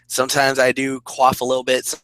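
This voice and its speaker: A man with a hoarse, raspy voice, co-hosting casual sports talk and rambling excitedly with restarts. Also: medium pitch, fairly quick, no echo, low-quality recording. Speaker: co-host.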